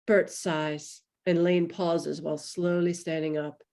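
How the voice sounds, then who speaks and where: A woman's voice: fairly low pitch, little pitch movement, slow, darkish tone. A woman giving scripted narration, reading stage directions for a table read.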